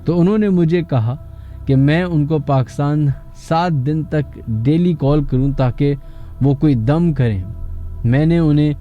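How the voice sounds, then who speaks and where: A man's voice: a little high, unhurried, dull audio. A man with a Pakistani accent hosting a late-night horror radio show, talking to listeners and callers. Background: background music.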